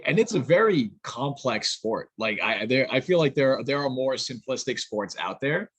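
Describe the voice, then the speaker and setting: A man's voice: mid-range voice, sing-song, moderate pace, fairly bright tone, breathy edge, dry recording. A man hosting a casual interview, asking questions in a conversational way and thinking aloud with small restarts. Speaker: interviewer host.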